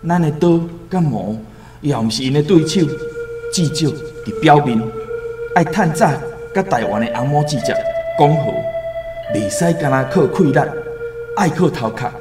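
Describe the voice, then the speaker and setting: A man's voice: fairly high pitch, expressive, lightly acted. Man acting an earnest islander over music. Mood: earnest, persuasive. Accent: Taiwanese. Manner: deliberate, solemn. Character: earnest worldly male islander, cautious returned seafarer. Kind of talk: radio drama acting with first-person storytelling. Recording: music behind the voice.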